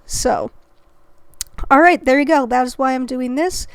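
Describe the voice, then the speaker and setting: A woman's voice: sits high, a little slow, gravelly, clear, resonant tone, no room sound. Rambling, passionate woman, dry close sound. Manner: rambling, self-interrupting, passionate. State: throat-clearing, recovering from illness. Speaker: reaction video host. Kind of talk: casual commentary.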